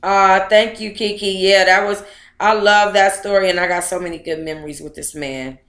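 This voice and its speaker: Bright-voiced woman chatting in an echoey room. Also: medium pitch, steady tone, moderate pace. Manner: playful, slangy, chatty, talks to viewers. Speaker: livestream host reading their own book aloud. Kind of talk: casual livestream chat with read-aloud.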